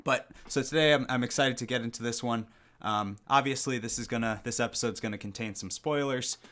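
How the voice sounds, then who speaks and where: A young man hosting a casual podcast, talking in a relaxed, chatty way with jokey asides. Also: medium pitch, brisk. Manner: relaxed, chatty, with frequent ums and self-deprecating jokes. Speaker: podcast host. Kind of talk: casual livestream podcast chat.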